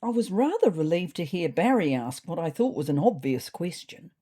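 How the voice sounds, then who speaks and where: A woman's voice: lowish voice, big pitch swings, normal speed, dark tone, husky. Wry New Zealand woman storyteller. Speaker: storyteller, podcast host. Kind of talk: scripted first-person comic narration.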